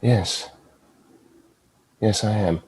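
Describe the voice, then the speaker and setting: An actor playing a suave, defiant devil, wry and theatrical, in a drama script read. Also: male voice, on the low side, extremely slow, darkish tone, breathy edge, full character voice. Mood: brooding, wry.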